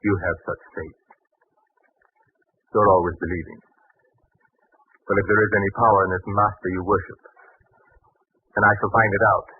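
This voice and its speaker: An actor playing a doubting, determined father of a sick son, with solemn, halting speech in 1940s radio drama style. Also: on the low side, steady tone, husky. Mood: stubborn, determined. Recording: noisy, narrow-band 1940s radio recording.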